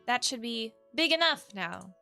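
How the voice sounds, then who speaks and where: A woman's voice: a little high, animated, unhurried, gravelly. A woman streaming a game, chatting casually and reading story text aloud, often hesitant and interrupting herself. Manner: hesitant, self-interrupting, soft exclamations. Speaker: VTuber streamer. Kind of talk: casual game livestream chat with read-aloud visual novel text. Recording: music playing behind the voice. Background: soft piano music.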